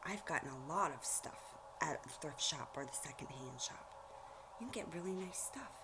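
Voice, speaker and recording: Teacher lady reading a kids story n talking to the class, slightly low voice, noisy recording. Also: lively intonation, moderate pace. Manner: explanatory, addresses children directly. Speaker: teacher reading aloud to children. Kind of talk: storybook read-aloud with asides to young listeners.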